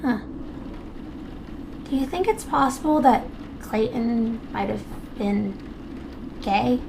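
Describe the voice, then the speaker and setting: Woman as high-voiced, eager, curious teen girl. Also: slow pace, creaky, background noise. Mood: tentative, curious. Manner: chatty, earnest, easily fascinated. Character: bright, curious, eager teenage girl researcher. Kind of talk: audio drama dialogue.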